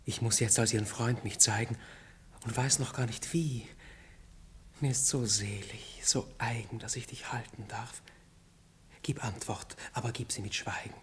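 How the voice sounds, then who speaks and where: A man's voice: mid-range voice, fairly even intonation, a little slow, slight background noise, natural voice. A man playing an ardent, chivalrous young nobleman in love, speaking lyrical verse in a radio play. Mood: tender rapture.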